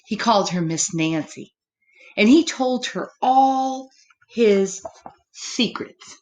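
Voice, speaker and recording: Slow, expressive woman at children's story time. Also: medium pitch. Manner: expressive, gently questioning, speaks simply as to small children. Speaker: storyteller reading a picture book to children. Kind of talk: children's story time, read-aloud with asides to young listeners.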